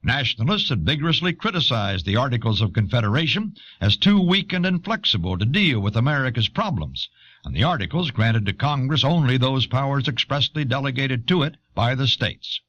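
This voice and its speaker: A husky-voiced man narrates educational material from a script. His intonation moves widely and keeps an animated shape. The recording is a dull old audio cassette. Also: medium pitch, brisk.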